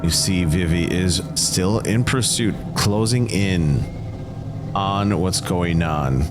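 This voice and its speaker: Deep-voiced man narrating tabletop roleplay over music. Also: slow pace. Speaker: game master. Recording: music under the voice.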